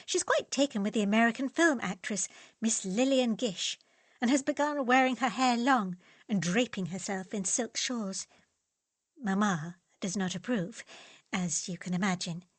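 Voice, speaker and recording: An eager young woman pours her heart into a letter, read aloud by a woman. She sounds earnest and affectionate, at a middle pitch. The recording is dry and close. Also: normal speed, no room sound, natural voice.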